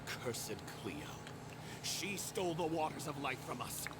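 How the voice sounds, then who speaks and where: An actor playing a vengeful, scheming villain in a video game stage play, declaiming with menace. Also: male voice, a little high, lively intonation, unhurried, very noisy. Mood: accusing. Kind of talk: theatrical video game acting.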